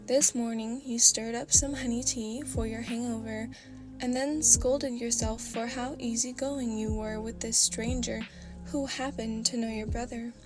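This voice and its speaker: Woman narrating in a lowered, deeper voice. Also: steady tone. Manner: lowered, deliberately deeper reading voice. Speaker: storyteller. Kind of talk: reading fan fiction aloud, sleepy ASMR. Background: music.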